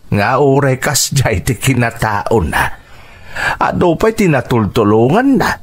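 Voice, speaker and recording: This is a proud, talkative father in an Ilocano radio drama. He sounds husky and takes his time, with an expressive, questioning lilt that rises and falls widely. His accent is Ilocano Filipino. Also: mid-range voice, unhurried. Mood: curious, pleased.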